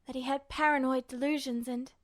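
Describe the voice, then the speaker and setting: A woman's voice: sits high, steady tone, a little fast, dry recording, studio quality. A woman narrating fiction in a dramatic, emotive style, like an audiobook reader. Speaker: podfic reader.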